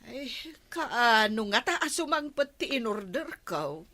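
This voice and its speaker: A woman's voice: on the high side, big pitch swings, measured pace, bright, forceful tone, heavily acted. A woman with an Ilocano accent playing a homely, chatty village woman in a radio comedy drama.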